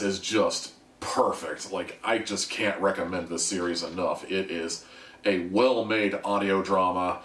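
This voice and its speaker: A man's voice: sits low, fairly bright tone. A man giving a casual, candid review monologue to listeners, with conversational asides. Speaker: audio drama reviewer. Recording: echoey room, distant microphone.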